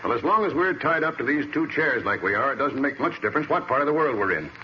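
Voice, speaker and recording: An actor playing a dry, resigned captive doctor with an American accent, in 1940s radio acting style. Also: male voice, mid-range voice, animated, fast, natural voice. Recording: muffled, hissy old radio recording.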